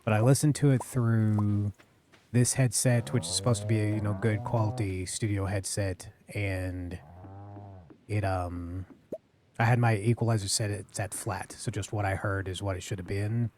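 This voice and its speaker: A man streaming a farming game, chatting casually into a close boom-arm mic, rambling and apologetic, with a deep voice he keeps low. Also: steady tone, normal speed, a little mellow, a little hiss or hum. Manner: rambling, self-correcting, apologetic, talks low. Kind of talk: casual live-stream chat while gaming. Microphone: studio mic on a boom arm, close to the face.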